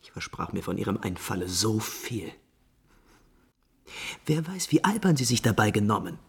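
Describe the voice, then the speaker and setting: An actor playing a displeased, disdainful prince in a classical German radio play, formal and reproachful. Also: male voice, fairly low pitch, big pitch swings, moderate pace, breathy edge, exaggerated voice. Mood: bitter reproach. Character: displeased, disdainful prince brooding over a failed scheme.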